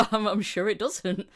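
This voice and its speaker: A woman podcast host and voice actor chatting casually, telling things in an anecdotal way. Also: mid-range voice. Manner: anecdotal, acting out reported conversations.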